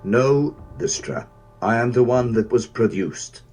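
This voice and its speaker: A man playing a cold, bitter, grandiose warrior villain in a fan-dubbed video game, speaking in a measured, contemptuous way. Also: medium pitch, a little mellow, close to an everyday voice, poor audio.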